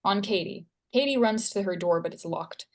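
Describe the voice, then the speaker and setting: A woman's voice: mid-range voice, normal speed. A woman narrating stage directions from a screenplay at a table read.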